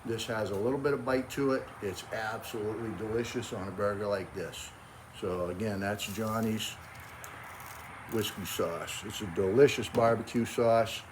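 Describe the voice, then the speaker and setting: A man's voice: lowish voice, steady tone, normal speed, background noise. A man in his sixties with a Boston accent, chatting casually to camera about grilling in his backyard, in a folksy, friendly way. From Cape Cod, Massachusetts. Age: 60s. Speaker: grilling vlogger.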